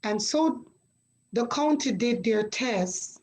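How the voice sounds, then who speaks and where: A middle-aged woman from Jamaica, a guest sharing her story in a measured, reflective way with pauses. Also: fairly high pitch, expressive, darkish tone. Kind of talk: conversational interview.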